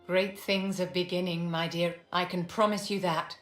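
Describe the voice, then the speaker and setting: In a reverberant hall with music underneath, an actress voices an aristocratic, shrewd woman mage for a video game. Her voice sits fairly low for a woman, and she speaks with measured, haughty courtesy. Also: flat delivery, close to an everyday voice. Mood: poised, assured.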